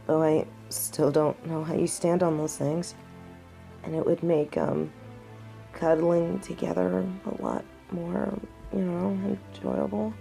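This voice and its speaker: A young woman playing a devoted half-wolf heroine in a romantic audio drama, speaking earnestly and tenderly with halting pauses, as if to one loved person. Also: fairly low pitch, gravelly, dark tone. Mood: hopeful, shy. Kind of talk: romantic audio roleplay acting, intimate one-sided dialogue.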